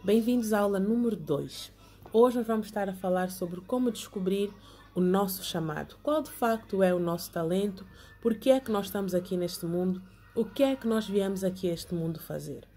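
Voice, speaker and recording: A woman's voice: mid-range voice, normal speed, mellow. A young woman giving an online Bible lesson, partly reading from notes, earnest and often asking her listeners to say amen. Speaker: Bible class teacher.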